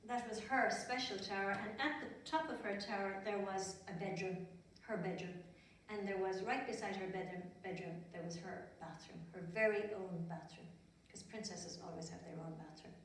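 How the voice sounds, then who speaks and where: A woman's voice: medium pitch, steady tone, moderate pace, slight background noise. An Irish woman telling a story to small children in simple, repetitive phrases, chatting and asking them questions as she goes. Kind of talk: storytelling for small children, with asides while drawing. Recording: very echoey room, distant microphone.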